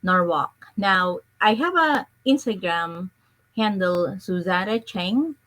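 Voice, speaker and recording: A middle-aged woman speaking English with a Filipino accent, chatting as an interview guest. She talks in a warm, lively flow and slips into animated voices when she quotes people. Her pitch sits in an ordinary middle range for a woman. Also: slow pace, low-quality recording. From Philippines. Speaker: guest, children's book author. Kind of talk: casual interview conversation.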